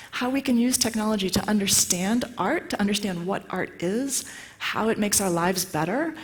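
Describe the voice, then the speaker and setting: Soft-spoken woman in a reverberant hall. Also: medium pitch. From United States. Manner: chatty, many fillers and restarts. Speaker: conference speaker. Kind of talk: conference talk. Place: conference hall.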